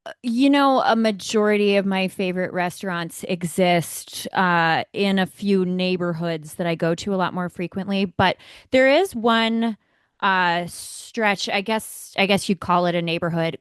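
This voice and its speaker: A woman's voice: on the low side, smooth, dry recording, clean studio sound. A woman co-hosting a podcast, chatting casually and giving her opinions with emphasis. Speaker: podcast co-host. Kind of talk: casual chat.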